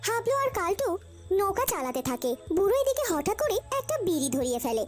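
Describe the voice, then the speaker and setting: A woman's voice: very high-pitched, very animated, quick pace, faint music behind. A woman narrator telling a cartoon story in a dramatic, scripted storytelling style.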